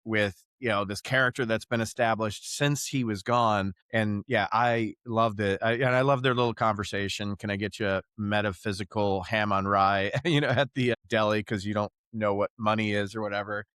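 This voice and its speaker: A man's voice: on the low side, fairly even intonation, moderate pace, hardly any pauses, a bit bright, clean tone, dry recording, studio quality. A man co-hosting a podcast, enthusiastically recalling favourite scenes. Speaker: podcast co-host. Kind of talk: casual chat about a movie.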